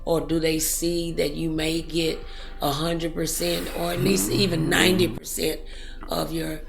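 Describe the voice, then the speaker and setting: Gravelly-voiced woman host, preachy and encouraging. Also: low-pitched, little pitch movement, unhurried, echoey room, over music. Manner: preachy, encouraging, speaks in motivational sayings. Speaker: talk show host. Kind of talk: motivational talk show conversation.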